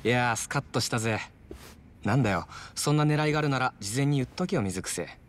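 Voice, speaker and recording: An actor playing a brash, familiar sidekick in a video game, speaking in an impatient, teasing, casual way. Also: male voice, medium pitch, animated, fast talker, a little hiss or hum, natural voice. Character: brash, familiar, working-class male sidekick. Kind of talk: Japanese video game cutscene acting.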